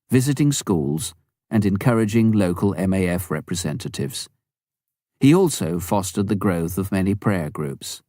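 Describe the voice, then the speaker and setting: A man narrates a memoir in a fairly low voice with plenty of melodic movement. His delivery is measured and expressive, clearly read from a script. The sound is dry, with very little room around it. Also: dry recording. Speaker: audiobook narrator.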